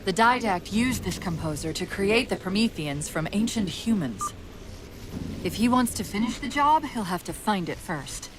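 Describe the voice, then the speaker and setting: A woman playing a quick-witted AI companion in a video game, speaking expressively and with urgency. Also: mid-range voice, expressive, lightly acted. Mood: wry, thoughtful. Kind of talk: video game cutscene acting. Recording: loud music behind the voice.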